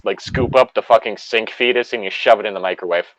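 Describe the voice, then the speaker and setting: A man's voice: lowish voice, quick pace, a bit bright, no echo, dull audio. A man chatting casually with friends on a voice call, rambling and swearing freely as he goes.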